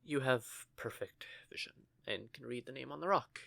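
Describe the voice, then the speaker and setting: A man's voice: medium pitch, normal speed, vocal fry, pushed and bright, no echo. Male actor as a nervous stuttering teen-ish witch; everyday mid voice, dead-dry close recording. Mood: flustered. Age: young adult. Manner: stammering, flustered. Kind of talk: audio roleplay acting.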